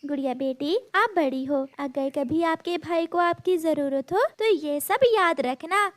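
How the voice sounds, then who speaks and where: A woman voicing a gentle mother bird in a cartoon, soothing and patiently instructive. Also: high voice, a little slow, no echo. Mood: gently instructive. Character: gentle mother bird, caring, patient, teaching her young.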